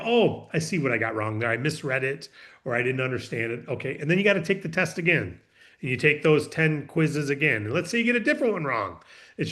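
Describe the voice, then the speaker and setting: Brief: man, bright clear voice, patient host walking callers through steps. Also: on the high side. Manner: reassuring, repeats points patiently. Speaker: business training host. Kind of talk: casual explanatory call.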